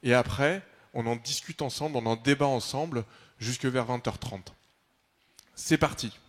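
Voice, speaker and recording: A man's voice: medium pitch, normal speed. Joking male debate host. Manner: relaxed, joking. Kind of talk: hosting a live debate.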